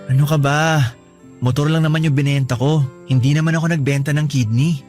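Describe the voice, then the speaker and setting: An actor with a Filipino accent playing an earnest, devoted groom-to-be in a radio drama. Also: male voice, fairly high pitch, steady tone, brisk, a little mellow, faint music behind, close to an everyday voice. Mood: loving, earnest. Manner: affectionate, earnest.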